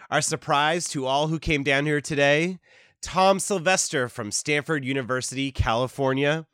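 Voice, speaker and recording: A man's voice: medium pitch, fairly bright tone, no room sound, studio quality, close to an everyday voice. A man playing a young conference host, addressing an audience formally and politely in an audio drama. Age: young adult. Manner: polite, formal public announcing. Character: grinning young male conference host in his thirties. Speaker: conference presenter. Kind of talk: audio drama stage speech.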